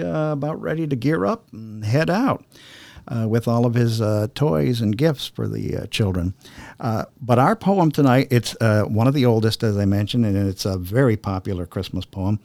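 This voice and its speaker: A man hosting a podcast in a chatty, halting, explanatory way, sometimes reading verse aloud. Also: medium pitch, a little mellow, no echo. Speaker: podcast host. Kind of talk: casual podcast talk and poem reading.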